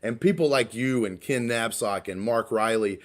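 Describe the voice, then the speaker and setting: A man's voice: medium pitch, moderate pace, crowd noise. A man in his late thirties co-hosting a casual podcast chat, talking in a rambling way and restarting his words often. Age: 30s. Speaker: podcast co-host.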